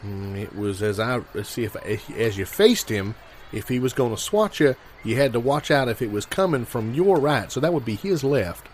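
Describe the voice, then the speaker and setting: A man playing a circus showman who tells absurd tall tales in a deliberate, deadpan way, as improvised comedy. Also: mid-range voice, slight background noise, lightly acted. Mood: deadpan storytelling.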